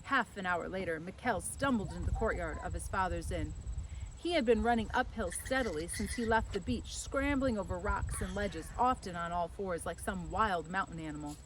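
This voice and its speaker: A woman's voice: fairly low pitch, moderate pace, low-quality recording. Woman reading a story, with background noise. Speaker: audiobook reader. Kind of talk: expressive storybook narration.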